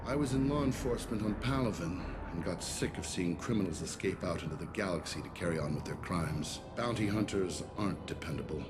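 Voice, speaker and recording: Game villain type: male actor as commanding, self-righteous alien warden, low formal voice, loud music underneath. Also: fairly quick, hardly any pauses, lightly acted. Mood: firm, self-righteous. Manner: formal, authoritative, self-assured. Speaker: prison warden. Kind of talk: video game voice acting.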